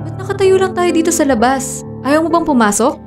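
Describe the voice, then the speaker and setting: An actress with a Filipino accent playing a frank, practical, mildly sympathetic friend in a radio drama. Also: female voice, very high-pitched, expressive, fairly quick, music in the background. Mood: matter-of-fact. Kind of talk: Tagalog radio drama acting.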